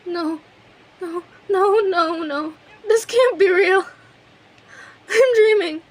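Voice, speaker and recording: A woman's voice: very high voice, slow. A woman playing an obsessive, lovesick kidnapper in her thirties in an intimate, emotional audio roleplay monologue. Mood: tearful, overwhelmed. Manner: clingy, emotional, swings between breathy whispers and outbursts.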